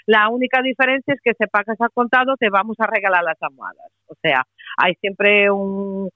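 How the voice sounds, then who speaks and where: A woman's voice: mid-range voice, normal speed, no echo, soft background music. Woman with a Portuguese accent on the phone. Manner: persuasive, many hesitations and "eh" fillers. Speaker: company sales representative. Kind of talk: sales pitch on a radio call-in. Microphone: telephone. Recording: tinny phone line, dry.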